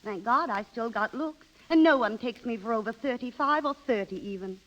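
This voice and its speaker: An actress playing a vain, restless middle-aged American wife, coy and demanding, in 1930s radio drama style on an old broadcast. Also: female voice, fairly high pitch, a little fast, a bit bright, highs cut off, lightly acted. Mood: pleading, demanding.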